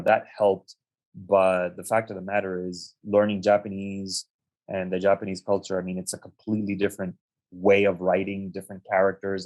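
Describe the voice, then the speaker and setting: A young adult man from the United States, an interview guest, telling his life story in a measured, reflective way with frequent ums and restarts. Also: low-pitched, a little mellow, poor audio. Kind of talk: conversational storytelling.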